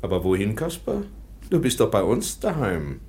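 A gentle old-world professor in a radio play, low, melodic delivery. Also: male voice, wildly swooping pitch, normal speed. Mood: patient. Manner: gentle, patient, explaining. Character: kind patient professor and teacher.

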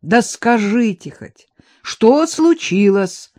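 Brief: woman voicing a calm, modest man, soft and soothing, audiobook. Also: low voice, takes it slowly, husky, no room sound, studio quality, exaggerated voice. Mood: mild. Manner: soft, placating. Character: modest middle-aged man, calm peacemaker.

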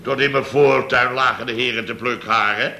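An actor playing a blustering, indignant boss of a building firm in a Dutch radio comedy, exclaiming and grumbling. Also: male voice, medium pitch, steady tone, moderate pace, sharp tone, a little hiss or hum. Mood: exasperated. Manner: exclamatory, grumbling, tags sentences with "hè" and "zeg".

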